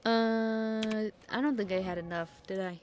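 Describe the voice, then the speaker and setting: Casual female game streamer, slow-paced and playful, sweary self-talk, with some clicky background noise. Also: mid-range voice, fairly even intonation, a little mellow. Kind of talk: casual gameplay commentary.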